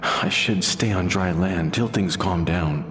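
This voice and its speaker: A man voicing a cheerful fisherman who is afraid of rough seas, talking in a dreamy, relaxed way. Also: sits low, measured pace. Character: cheerful middle-aged fisherman, fearful of the sea. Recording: game audio with music underneath.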